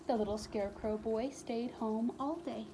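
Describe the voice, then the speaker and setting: A woman reading a children's book aloud as a storyteller, expressively, with dramatic pauses and emphatic repetition. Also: a little high, noisy background.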